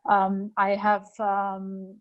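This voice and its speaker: A woman from Azerbaijan, a freelance photographer, talks in a hesitant way and fills her pauses with small sounds. Her pitch sits in the middle for a woman. She speaks over a video call. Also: completely flat delivery, muffled recording. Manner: hesitant, many fillers.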